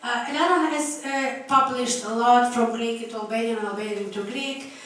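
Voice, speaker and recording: A woman's voice: a little high, fairly even intonation, fairly quick, fairly bright tone. Woman moderator, distant in an echoey hall. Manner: hesitant, frequent fillers. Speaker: festival moderator. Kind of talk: introducing an author on stage. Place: festival stage in a large hall.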